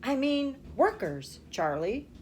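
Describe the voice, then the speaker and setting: A woman voicing an old grandfather telling a storybook tale, secretive and excitable, with slow dramatic pauses. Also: high voice, a little hiss or hum, voice pushed up, heavily acted. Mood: excited, emphatic.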